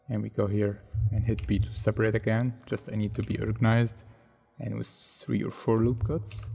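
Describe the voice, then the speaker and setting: A man narrating a software modeling tutorial in non-native English phrasing, unhurried and thinking aloud step by step. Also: lowish voice, gravelly, breathy edge.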